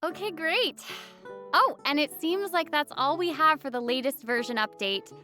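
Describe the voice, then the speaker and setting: A woman's voice: high-pitched, normal speed, bright, forceful tone, soft background music. A woman co-hosting a game update show in an upbeat, semi-scripted presenting style.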